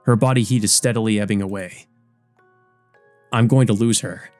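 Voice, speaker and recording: A man's voice: lowish voice, steady tone, moderate pace, darkish tone, no room sound, faint music behind. A man reading a visual novel aloud as dramatic scripted narration. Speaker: let's play narrator.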